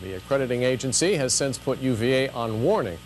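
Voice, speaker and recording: A man's voice: medium pitch, animated, brisk, soft background music. A man with an American accent reading scripted television news as an anchor.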